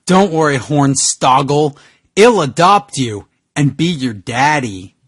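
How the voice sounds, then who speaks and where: A man performs a husky, miserable Christmas-hating Grinch in a comic storybook reading. The voice is slow and highish, swinging about with mock melodrama. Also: expressive, no room sound, studio quality, exaggerated voice. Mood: tender.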